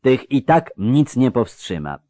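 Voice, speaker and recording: A man's voice: medium pitch, big pitch swings, mellow, no echo, voice pushed up. A man voicing a composed, conciliatory conspirator with a congested, head-cold voice, in an audiobook. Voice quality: congested, stuffed nose. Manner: measured, diplomatic.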